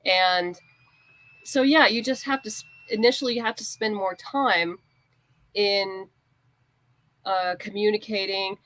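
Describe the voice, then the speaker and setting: A woman's voice: medium pitch, steady tone, unhurried, pauses often, fairly bright tone, dry recording, rough recording. A woman speaking as an interview guest, a writer explaining her creative work informally through anecdotes and quoted exchanges. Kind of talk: conversational interview answers, anecdotes.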